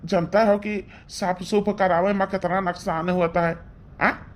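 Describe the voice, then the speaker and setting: A woman's voice: mid-range voice, fairly even intonation, a little slow, lightly acted. A woman voicing an older village father-in-law in a cartoon, questioning and scolding with an Awadhi accent. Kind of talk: cartoon dialogue.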